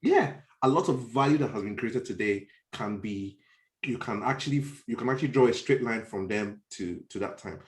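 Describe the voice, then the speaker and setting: A man's voice: mid-range voice, animated, moderate pace. A man with a Nigerian accent in a conversational political discussion, speaking emphatically and repeating phrases as he reasons. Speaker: podcast discussion panelist. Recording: roomy, echoey online call.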